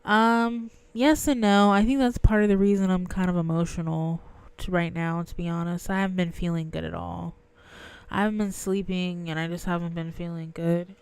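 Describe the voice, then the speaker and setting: A woman's voice: fairly low pitch, fairly even intonation, normal speed, darkish tone, clean tone. A young woman chatting casually with her viewers on a livestream, her voice nasal and stuffy from crying. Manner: chatty, answers viewers' messages. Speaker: livestream host.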